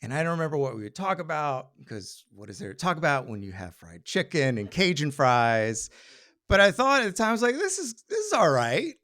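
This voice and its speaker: A man's voice: fairly high pitch, very animated, brisk, fairly bright tone. A middle-aged American man telling a personal story live to an audience in a wry, conversational way, slipping into quoted dialogue. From Maryland, United States.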